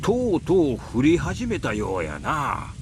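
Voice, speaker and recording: A man's voice: on the high side, huge pitch swings, moderate pace, slight background noise. A man voicing a shrewd, easygoing middle-aged businessman with a Kansai accent in a visual novel. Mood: calm advising. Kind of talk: Japanese visual novel voice acting. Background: music and rain.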